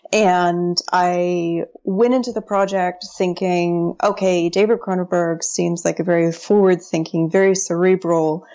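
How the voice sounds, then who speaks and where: A woman's voice: on the low side, steady tone, unhurried, hardly any pauses, darkish tone, highs cut off. A woman in her thirties from the United States, a guest on a podcast interview, chatty and often laughing.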